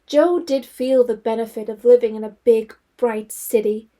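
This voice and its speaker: An actress narrating a period novel as a composed storyteller in scripted audio drama style. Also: female voice, a little high, moderate pace, warm tone, lightly acted.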